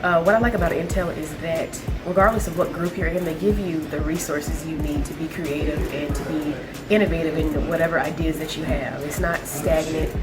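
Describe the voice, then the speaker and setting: A woman's voice: sits low, steady tone, brisk, over loud music. A woman in her twenties, a student intern, giving a casual testimonial to camera. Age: 20s.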